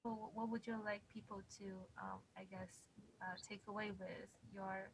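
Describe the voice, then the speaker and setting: A woman moderating a Q&A, asking a film director questions in an even, measured way. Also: medium pitch, vocal fry, background noise. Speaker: interviewer.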